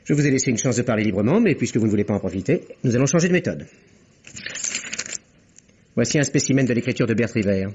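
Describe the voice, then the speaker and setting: An actor playing a shrewd, ironic police inspector from in a vintage radio mystery. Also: male voice, mid-range voice, lively intonation, darkish tone, slight background noise, close to an everyday voice. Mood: ironic probing. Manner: ironic, measured, probing. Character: shrewd, sardonic male police inspector, needling suspects. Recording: dull, lo-fi old radio recording.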